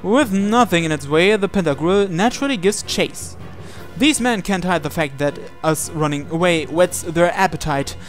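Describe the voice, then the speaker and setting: A man reading visual novel narration aloud in a dramatic storytelling style. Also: high-pitched. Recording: game music playing behind. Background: video game music.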